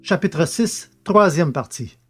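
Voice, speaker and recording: A man's voice: a little high, animated, takes it slowly, breathy edge. A man reading scripted narration for an audio story, as its narrator. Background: loud music.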